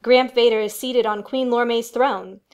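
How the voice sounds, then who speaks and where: High-voiced woman narrating a radio play. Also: steady tone, normal speed, clean tone.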